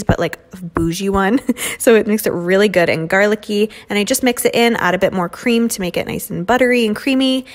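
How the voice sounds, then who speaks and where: A young woman in her twenties giving a casual, chatty cooking voice-over full of "um" and "like" fillers. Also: mid-range voice. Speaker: beauty vlogger cooking at home. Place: home kitchen.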